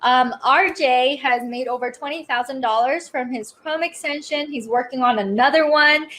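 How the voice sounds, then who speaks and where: A woman with a high voice hosts a live stream, greeting viewers and introducing her guest. Her talk is upbeat and chatty, full of "um" and "uh" as she goes. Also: moderate pace, a bit bright. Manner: upbeat, chatty, many fillers. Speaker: host of a live online show.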